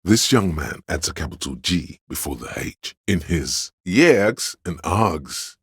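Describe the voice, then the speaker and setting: A man voicing a sly, flattering inner voice in a video game, with theatrical, courtly, old-fashioned diction and a gravelly, husky bass. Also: moderate pace, dry recording, studio quality, full character voice. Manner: grandiose, archaic courtly diction, conspiratorial. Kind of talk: scripted video game voice acting, mock-Shakespearean.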